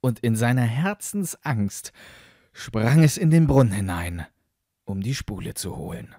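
A man narrating a fairytale audiobook as a storyteller, expressive and deliberate. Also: mid-range voice, no echo, clean studio sound.

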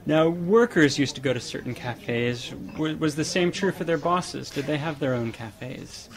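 A man's voice: a little high, unhurried. A man hosting a podcast, asking questions with some hesitant fillers. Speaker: podcast host interviewer. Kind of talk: interview questions.

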